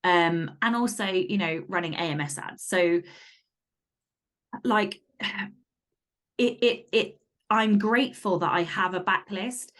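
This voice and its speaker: A woman speaking as a guest author and podcaster in casual interview chat, candid, animated and emphatic. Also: fairly low pitch, takes it slowly, long pauses.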